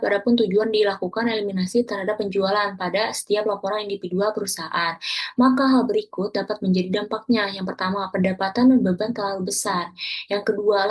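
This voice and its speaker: Woman student presenter, husky tone, even pitch, reads steadily from slides. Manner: reads steadily from slides, with small self-corrections. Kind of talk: reading a class presentation.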